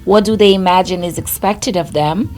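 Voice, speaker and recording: A woman's voice: on the low side, moderate pace, a little mellow, faint music behind, rough recording. A woman from Guyana with a Guyanese accent, co-hosting a podcast in casual chat. She laughs often and restarts her phrases. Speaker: podcast co-host.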